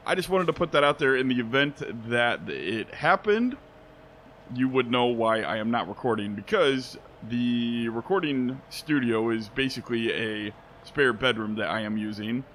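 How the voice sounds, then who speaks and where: A young man with a drawl and a slightly hoarse, just-woken voice talks his way through a game session. He rambles easily, chuckles, and stops to correct himself mid-sentence. There is faint noise behind him. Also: mid-range voice, fairly bright tone. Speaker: let's play host. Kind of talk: casual gameplay commentary. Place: home spare bedroom studio.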